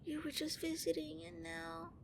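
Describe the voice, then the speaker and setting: A woman playing a gentle, bookish young vampire prince in an ASMR roleplay, speaking with hesitant, earnest courtesy. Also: very high-pitched, monotone, moderate pace, creaky, slight background noise, exaggerated voice. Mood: apologetic. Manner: hesitant, politely fussy, earnest.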